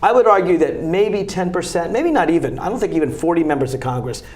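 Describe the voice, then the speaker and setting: A man with a fairly high speaking voice makes his campaign pitch in a TV studio interview. He talks fast and emphatically, pressing each point like a fighter. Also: a little mellow. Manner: emphatic, combative, rapid-fire argument. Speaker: congressional candidate. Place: local cable TV studio.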